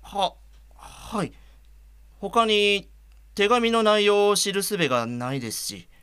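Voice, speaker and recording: A man's voice: sits high, big pitch swings, slow pace, fairly bright tone, clean tone, heavily acted. An actor playing a blind man in a radio drama who answers with hesitant, polite short replies. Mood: surprised. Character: upbeat blind man being read a letter.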